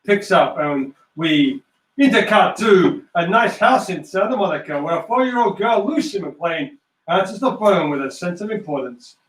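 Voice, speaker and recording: Man, scripted narration, high voice, echoey room, stage-direction reader. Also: animated, moderate pace, a bit bright. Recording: echoey room, distant mic.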